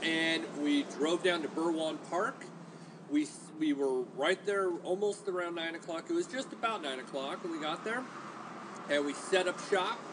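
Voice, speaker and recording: A man's voice: a little high, moderate pace. A man hosting a casual podcast, a self-styled entertainer who addresses his listeners directly. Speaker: podcast host. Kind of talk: casual chat. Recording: noisy home recording.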